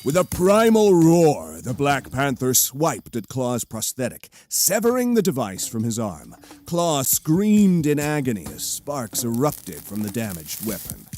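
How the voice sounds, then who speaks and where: A man's voice: mid-range voice, big pitch swings, measured pace, hardly any pauses, a little mellow. A man narrating an audio drama in a theatrical, scripted storytelling style. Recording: cinematic music bed behind.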